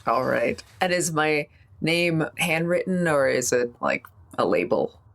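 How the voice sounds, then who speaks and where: A woman with a low-pitched voice plays at a tabletop role-playing game. Her talk is casual and wry, with some hesitant phrasing. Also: expressive, measured pace, vocal fry.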